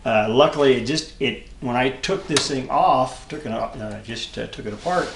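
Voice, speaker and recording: A man in his seventies giving a casual, unscripted hobby show-and-tell, halting and rambling as he thinks aloud. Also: medium pitch. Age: 70s. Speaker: hobbyist vlogger showing vintage electronics. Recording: echoey room with clear background noise and clicks.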